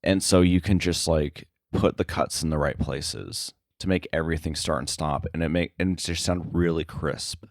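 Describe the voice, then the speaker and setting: A man talking casual shop as an interview guest, halting and often circling back to rephrase himself. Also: rumbling low, steady tone, gravelly, no room sound, clean studio sound. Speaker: interview guest, sound effects editor.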